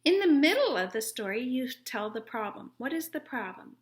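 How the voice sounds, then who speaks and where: A woman reading a children's story aloud and explaining it like a teacher, in a deliberate way. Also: a little high, big pitch swings.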